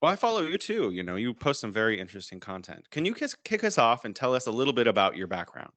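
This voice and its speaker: Lively male podcast host, dry sound. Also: mid-range voice, fast, no echo. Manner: welcoming, scripted intro. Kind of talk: podcast interview.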